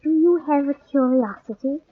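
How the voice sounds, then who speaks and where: Tinny noisy radio drama, female actor as bold young wife of a pirate, higher voice, intimate, coy, deliberate. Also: close to an everyday voice. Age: young adult.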